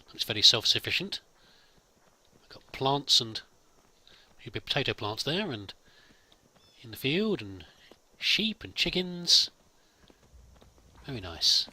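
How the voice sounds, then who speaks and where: A man's voice: medium pitch, pushed and bright, husky. A man hosting a let's play with casual role-played commentary, chatty and musing to himself.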